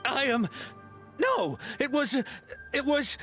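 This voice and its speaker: A man's voice: very high-pitched, expressive, takes it slowly, creaky, fairly bright tone, faint music behind, exaggerated voice. A man playing a cowardly, panicky poacher henchman in a video game, with a flustered, stammering delivery. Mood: shaken, pleading.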